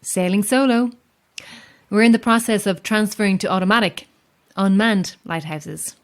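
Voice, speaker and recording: A woman playing a solitary, calm lighthouse keeper with an Irish accent in a horror audio drama, speaking in a measured, understated way. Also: mid-range voice, natural voice. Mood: friendly, helpful. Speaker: lighthouse keeper and narrator of a tale.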